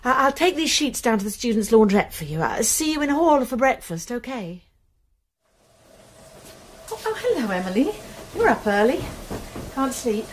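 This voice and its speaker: An actress playing a kind, probing college nurse who turns amateur sleuth in a radio crime drama, speaking gently and often in a hush. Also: female voice, a little high, big pitch swings, moderate pace, pauses often, husky. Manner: gentle, questioning, often hushed.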